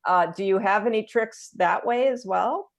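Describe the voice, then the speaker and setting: A woman hosting a conversational interview, agreeable, with halting, searching phrasing. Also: medium pitch, gravelly. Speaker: interview host, entrepreneur.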